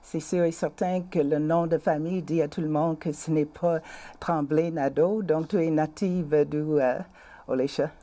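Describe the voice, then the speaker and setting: A woman with a Québécois accent hosting a community TV interview. She asks halting questions broken up by many fillers. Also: deep voice, steady tone, mellow. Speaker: host.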